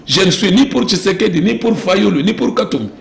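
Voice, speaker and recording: A man's voice: high-pitched, animated, a little fast. A man from DR Congo with a Congolese French accent, speaking insistently as a political interview guest, repeating phrases and posing rhetorical questions. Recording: roomy echo with clear background noise.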